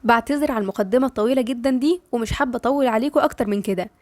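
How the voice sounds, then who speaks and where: Fast-talking Egyptian woman with a cold. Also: on the high side, no echo. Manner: warm, chatty, addressing listeners directly. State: has a cold. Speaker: YouTube novel narrator. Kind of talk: chatty intro then storybook narration.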